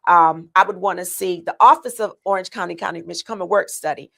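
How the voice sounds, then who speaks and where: Earnest gravelly-voiced woman candidate. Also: on the low side, fast talker, sharp tone. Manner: earnest, many ums and restarts. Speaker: county commission candidate. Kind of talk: answering interview questions.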